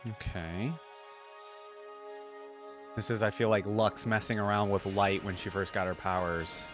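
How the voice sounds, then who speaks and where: A man's voice: on the low side, steady tone, unhurried, over music. A man hosting a reaction video, giving informal, conversational commentary with rambling asides.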